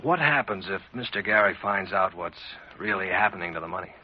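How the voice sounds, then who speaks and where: Uneasy, low-voiced American clerk. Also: male voice, lively intonation, fairly quick, slightly rough. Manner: hesitant, polite, cautious. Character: deferential, uneasy male clerk to a crooked boss. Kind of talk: 1940s radio acting. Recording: muffled, hissy 1940s radio recording.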